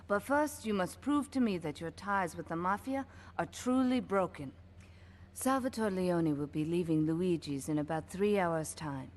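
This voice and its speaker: An actress playing a cool, controlled female Yakuza boss in a video game, with a measured, imperious, dry delivery. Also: lowish voice, slight background noise. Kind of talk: video game cutscene acting.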